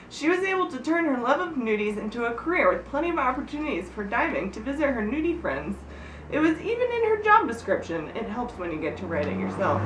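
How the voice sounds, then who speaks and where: Animated, higher-pitched woman in an echoey room. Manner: giggly, often stumbles and breaks off to comment. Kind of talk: reading a humorous story aloud to friends. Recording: echoey room, distant mic, background noise.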